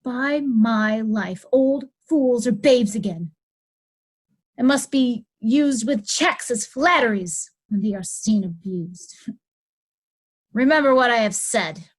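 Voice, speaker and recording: Woman acting a royal daughter, haughty, resentful, scornful, somewhat high voice, Shakespearean readers' theatre. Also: slow, breathy edge. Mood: scornful, commanding. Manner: imperious, scornful.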